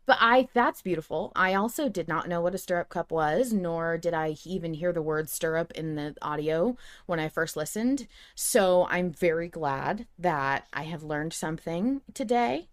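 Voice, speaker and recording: A woman co-hosting a fan podcast, chatting casually and reading out emails in an animated, emphatic way. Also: fairly low pitch, moderate pace, few pauses. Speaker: podcast co-host.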